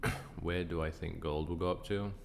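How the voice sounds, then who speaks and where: A man hosting a casual live trading stream, croaky and tired, answering chat questions in a relaxed way. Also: brisk, a little hiss or hum. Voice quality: croaky, deep from sinus trouble. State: tired, up a long time. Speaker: livestream trading host.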